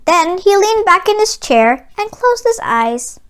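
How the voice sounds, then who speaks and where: A woman narrating a children's storybook aloud in an expressive read-aloud style. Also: very high voice, measured pace. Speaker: children's book reader.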